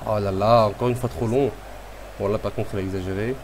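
Brief: man, cold, low voice, excitable live football game commentary, audible hum behind him. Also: brisk. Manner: excitable, talks to players and referee. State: has a cold. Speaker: gamer commentating his own football match. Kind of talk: casual play-by-play commentary.

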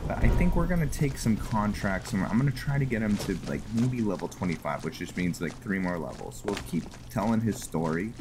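A man giving casual game commentary as a host, rambling and thinking aloud over his play. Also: sits low. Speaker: host of a gaming roleplay series. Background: background music.

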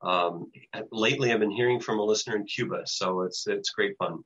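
An older American man giving an informal presentation and answering questions in a halting, hesitant way over a video call. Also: on the low side, a bit bright, slight background noise. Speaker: presenter, radio show producer. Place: small home office with bookshelves, indoors. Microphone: computer mic on a video call. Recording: dull lo-fi video call audio.

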